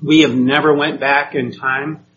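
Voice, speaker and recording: Man, from Puerto Rico, classroom lecture, halting with many ums, dull lo-fi sound. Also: medium pitch, level tone, a bit bright, a little hiss or hum. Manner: hesitant, many ums, checks with "okay?". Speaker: teacher.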